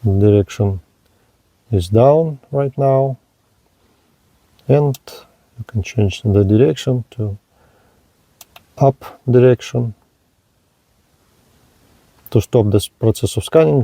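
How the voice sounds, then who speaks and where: Slow, careful man demoing a radio. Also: medium pitch, warm tone, no echo. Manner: halting, careful, with pauses and fillers. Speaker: radio reviewer. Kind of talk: slow hands-on product demo narration.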